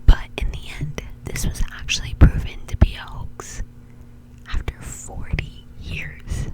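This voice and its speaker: A woman's voice: measured pace, rough recording. A woman doing whispered ASMR storytelling in an informal, conversational way, close to the microphone. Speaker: ASMR video creator and host.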